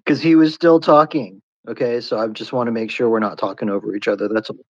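A man's voice: mid-range voice, animated, quick pace, a little mellow, no echo. A man hosting and moderating a live audio chat, polite but firm. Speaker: host and moderator. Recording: dull, dry lo-fi audio.

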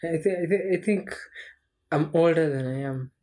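Young guy in his 20s on a podcast, mellow soft voice, thinks out loud and restarts a lot. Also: fairly high pitch. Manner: reflective, speaks in measured thoughts with frequent restarts. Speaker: podcast guest. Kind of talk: casual chat.

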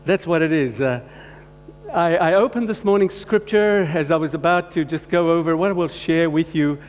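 A man's voice: fairly high pitch, faint music behind. Man preaching in an echoing church. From Croatia. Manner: halting, warm-hearted, many restarts. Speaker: guest speaker. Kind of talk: personal testimony sermon. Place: church hall. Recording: long hall reverb, faint hum.

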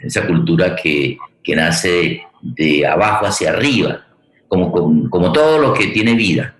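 A Colombian man with a very low voice hosts a discussion in a conversational way. He often repeats himself while searching for words. The sound has a strong room echo. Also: expressive. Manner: halting, searching for words with repetitions. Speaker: forum host. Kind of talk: conversational political and cultural discussion.

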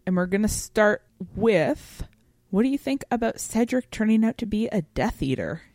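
A woman's voice: mid-range voice, big pitch swings, normal speed, darkish tone, dry recording. A woman co-hosting a podcast, talking casually and analytically in a thoughtful way, often feeling for words with "like". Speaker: podcast co-host. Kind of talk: casual analytical discussion of fiction.

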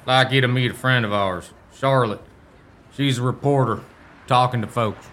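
A man's voice: medium pitch, moderate pace, a bit bright, natural voice. A man playing a folksy, teasing country camp dweller in a radio play, full of homespun idioms. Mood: easygoing.

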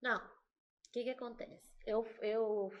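Chatty Brazilian woman songwriter, slightly high. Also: steady tone, slow pace, dull audio. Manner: chatty, searching for words. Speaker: songwriter, band member. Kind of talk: casual podcast interview.

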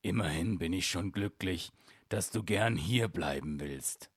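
A man voicing a sorrowful, lovelorn male beast in a storybook reading, with a slow, heavy, downcast delivery. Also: low voice, husky, no echo, clean studio sound, exaggerated voice. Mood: mournful.

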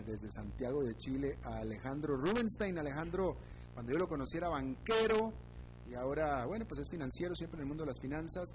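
Chatty male radio host on a noisy line. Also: mid-range voice. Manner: friendly, chatty, quick questions. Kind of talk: radio interview.

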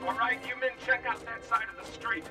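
A commanding military trooper in a space radio drama. He barks clipped orders at a very high pitch for a man, with loud music behind. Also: a little fast. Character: barking, stern male armored trooper commander.

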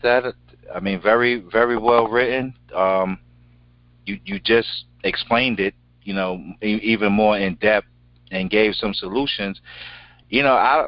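Man, middle-aged, deep voice, slangy and emphatic, repeats himself, unscripted show chat, slightly muffled dry audio. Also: no room sound. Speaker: show co-host. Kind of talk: casual, unscripted conversation.

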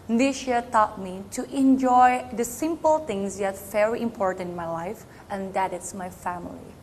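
Slow, rehearsed Indonesian-accented woman. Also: medium pitch, darkish tone, slight background noise, low-quality recording. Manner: slow, careful, rehearsed delivery. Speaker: pageant contestant giving a video greeting. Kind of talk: scripted formal greeting.

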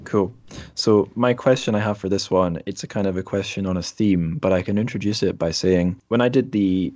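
A man's voice: low voice, a little fast, darkish tone. A man hosting a podcast, chatting casually and informally through an interview about books, with asides as he explains. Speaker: podcast host.

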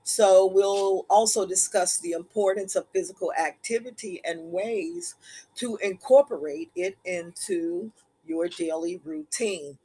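A woman hosts a podcast in an unhurried, deliberate way. Her voice is scratchy and husky today, and she takes her time with each phrase. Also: mid-range voice, expressive, warm tone. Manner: slow, deliberate delivery. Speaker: podcast host. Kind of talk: podcast monologue.

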